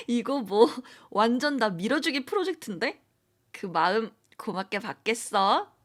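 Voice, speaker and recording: Woman voicing a friendly senior coworker, hesitant, slow-paced, audio drama. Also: fairly high pitch, huge pitch swings, heavily acted. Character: gentle young woman senior colleague leaving her job. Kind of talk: amateur audio drama acting.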